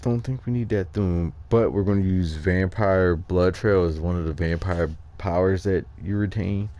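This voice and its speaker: A man giving casual, off-the-cuff game walkthrough commentary, thinking aloud in a halting way. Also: low-pitched, dark tone. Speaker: gaming video host.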